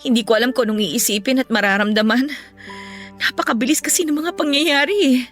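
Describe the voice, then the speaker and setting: A young widowed mother in a radio love drama. Her voice is fairly high, plaintive and full of grief, with music playing behind it. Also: brisk, fairly bright tone. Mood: sorrowful, anxious. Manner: plaintive, emotional delivery. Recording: music bed under the voice.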